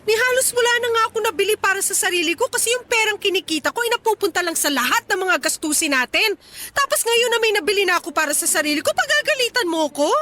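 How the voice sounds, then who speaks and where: High, bright, sulky Filipino mother. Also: animated, fast talker, a little hiss or hum, exaggerated voice. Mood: indignant scolding. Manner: sulky, dramatic, reproachful. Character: hurt, guilt-tripping widowed mother scolding her grown child. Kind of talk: Filipino radio drama acting.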